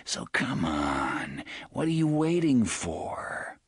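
A man voicing a persuasive, groaning otherworldly presence that coaxes in a hushed, half-whispered way. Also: sits low, big pitch swings, slow pace, breathy edge, no echo, exaggerated voice. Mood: coaxing. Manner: hushed, coaxing, partly whispered.

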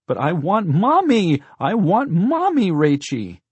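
A man's voice: sits high, huge pitch swings, slow, husky, no echo, voice pushed up, heavily acted. Squealing, whiny little boy. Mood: distressed whining. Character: whiny small boy, frightened, clinging, childish.

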